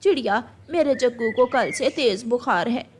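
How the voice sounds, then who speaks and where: A woman's voice: on the high side, expressive, normal speed, slight background noise, lightly acted. A woman voicing a distressed, poor mother crow in a cartoon story, pleading and tearful for her child. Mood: worried, grateful. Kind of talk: Hindi cartoon story voice acting.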